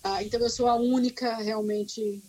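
A woman talks casually about her work as a teacher. Her voice is a little husky and sits on the higher side. She tells stories in an animated, self-mocking way, often stopping to restart a sentence. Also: moderate pace. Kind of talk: casual conversation.

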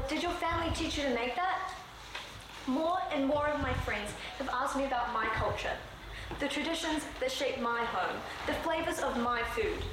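A young woman from Sri Lanka raised in New Zealand, delivering a prepared, earnest speech at a measured pace. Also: high voice. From born in Sri Lanka, raised in New Zealand. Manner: measured, earnest delivery with slowed emphatic phrases. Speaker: student speaker. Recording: very echoey room with background noise.

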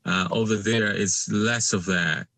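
A man from Malaysia, a guest in a conversational interview, speaking haltingly with many fillers and restarts. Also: on the low side, steady tone, pushed and bright.

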